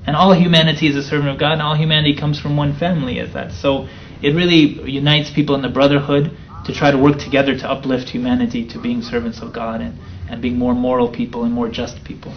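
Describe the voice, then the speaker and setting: A man in his thirties from the Chicago area, a guest telling his story in a soft-spoken, thoughtful way. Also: mid-range voice, level tone, fast talker, few pauses. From United States (near Chicago). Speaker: interview guest. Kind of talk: relaxed storytelling interview. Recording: slightly dull sound with faint noise.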